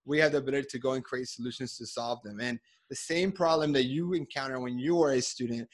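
A man from Colombia hosting an interview in an eager, energetic manner. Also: mid-range voice, quick pace. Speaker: host. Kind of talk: casual interview chat.

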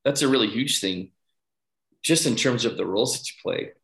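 A man hosting a podcast interview in a conversational coaching style, putting his questions slowly and haltingly with repeated words. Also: medium pitch, creaky, a bit bright. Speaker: podcast host. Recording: roomy, echoing sound.

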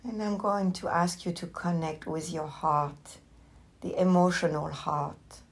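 A woman teacher leading a guided meditation with gentle, unhurried instructions and long pauses. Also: fairly low pitch, fairly even intonation.

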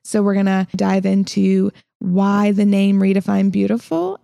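A young woman with a light Southern accent hosting a casual podcast, upbeat and quick to laugh. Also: medium pitch, fairly even intonation, vocal fry, mellow, dry recording, clean studio sound. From Illinois. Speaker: podcast host.

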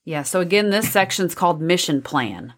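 Texan woman co-hosting, low voice. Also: animated, moderate pace. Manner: agreeable, laughs easily, many short backchannels. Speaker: co-host. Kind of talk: casual advice chat.

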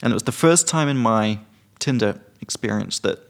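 A man's voice: lowish voice, expressive, moderate pace, creaky. A young British man co-hosting a podcast, chatting casually and explaining English phrases with examples. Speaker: podcast co-host. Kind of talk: casual chat.

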